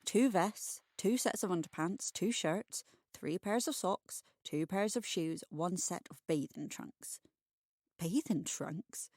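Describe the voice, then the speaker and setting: A woman narrating a scripted bedtime short story for adults, expressive and wry. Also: lowish voice, takes it slowly, no room sound, clean studio sound.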